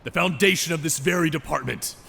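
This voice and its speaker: A man's voice: a little high, brisk, gravelly, pushed and bright, a little hiss or hum, exaggerated voice. A man playing an indignant, sarcastic government agency official in a drama, confrontational in manner. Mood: angry. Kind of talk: dramatic dialogue.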